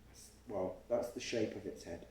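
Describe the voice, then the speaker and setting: A man reading a picture book aloud to a child at home, pausing to explain and ask questions along the way. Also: lowish voice, darkish tone, far from the mic, a little hiss or hum. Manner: explains and asks questions as he reads. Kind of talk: storytime read-aloud with chatty asides to a child. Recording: roomy, echoey home recording.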